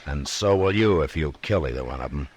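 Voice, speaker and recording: An actor playing a stern, laconic Old West marshal in a measured, firm manner, in 1950s radio western style. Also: male voice, very deep voice, expressive, a little mellow. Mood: stern warning.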